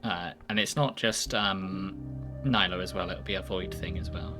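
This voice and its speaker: A man's voice: low-pitched, fairly even intonation, moderate pace, music in the background. A man streaming a game and chatting playfully, thinking aloud and poking fun at himself. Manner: playful, self-deprecating, thinks aloud while playing. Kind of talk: casual gaming chat.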